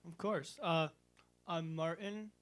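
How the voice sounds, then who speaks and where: Teen male musician, somewhat higher voice, chatty and self-deprecating guest. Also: steady tone, takes it slowly. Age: teens. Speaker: band member guest. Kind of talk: casual interview answers.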